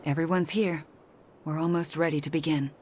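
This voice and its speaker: An actress playing a focused, assured sorceress in a video game, instructive and matter-of-fact. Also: female voice, sits low, steady tone, normal speed, darkish tone, slight background noise, close to an everyday voice. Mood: focused, grave.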